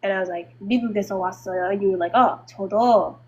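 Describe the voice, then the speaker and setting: A young woman co-hosting a live study stream, chiming in with quick remarks and reminders. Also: medium pitch, breathy edge, lo-fi sound. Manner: quick interjections and short reminders to her sister. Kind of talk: casual live-stream chat.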